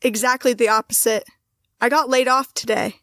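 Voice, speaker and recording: A woman playing an affectionate young wife and mother in an audio drama, earnest and steady. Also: on the high side, normal speed, no echo, studio quality, natural voice. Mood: downcast. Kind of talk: hobby audio drama acting.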